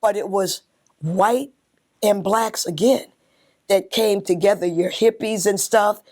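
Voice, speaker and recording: A woman's voice: mid-range voice, slow pace, darkish tone. An older woman giving her reflections in an interview.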